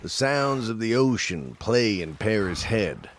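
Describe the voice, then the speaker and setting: Low-voiced man narrating a drama. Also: very animated, a little slow, slight background noise. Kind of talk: scripted narration of audio drama.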